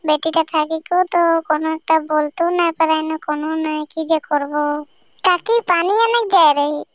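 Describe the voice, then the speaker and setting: A woman's voice: high voice, steady tone, moderate pace, dry recording, clean studio sound, close to an everyday voice. A woman voicing a worried village mother who scolds her daughter, in a cartoon. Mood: worried grumbling. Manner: scolding, grumbling.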